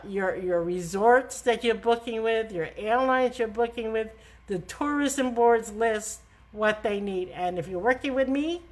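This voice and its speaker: A middle-aged woman with a New York accent hosting a live travel talk, emphatic and scolding in a chatty way. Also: fairly high pitch. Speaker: travel agent host.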